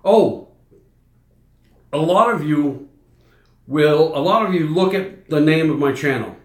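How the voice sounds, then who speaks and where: A man's voice: on the high side, animated, slow. A middle-aged man with a Boston accent, chatting casually on a livestream and rambling through fillers and restarts. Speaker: livestream host. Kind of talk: casual live chat answering viewers. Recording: echoey room.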